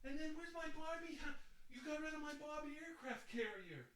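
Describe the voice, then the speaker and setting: A man's voice: very high-pitched, lively intonation, normal speed, a bit bright, slight background noise. A man playing a whiny, foul-mouthed grown son who lives with his mother, complaining and rambling in a comedy sketch. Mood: whiny, outraged. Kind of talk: improvised comedy roleplay sketch.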